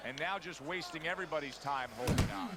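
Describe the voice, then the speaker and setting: A man playing an excitable TV boxing play-by-play announcer in a video game, making punchy, emphatic calls. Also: a little high, fast, very noisy, close to an everyday voice. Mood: excited, emphatic. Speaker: sports commentator. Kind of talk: live boxing commentary.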